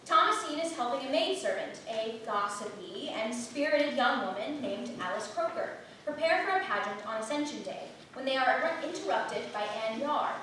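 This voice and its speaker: A woman's voice: sits high, animated, moderate pace, a bit bright. A woman giving theatrical scripted narration as an actor reading a play synopsis. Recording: echoey hall from far off, faint noise.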